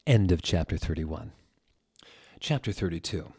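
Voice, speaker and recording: A man in his thirties giving a deliberate, theatrical reading of fan fiction, close to a desk microphone. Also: low voice, lively intonation, vocal fry, mellow, slightly rough. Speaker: reader, show host. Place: small furnished room indoors.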